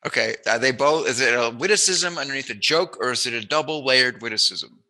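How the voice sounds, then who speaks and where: A man's voice: medium pitch, brisk, bright, forceful tone. A man hosting a casual chat interview, talking in a playful, jokey, musing way. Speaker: interviewer, host.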